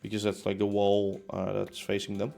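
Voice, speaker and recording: Gravelly-voiced young man rambling. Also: on the low side, completely flat delivery, moderate pace, mellow, soft background music. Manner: rambling, many fillers and restarts. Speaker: streamer building in a game. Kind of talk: casual chat while building. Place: home room in a concrete apartment.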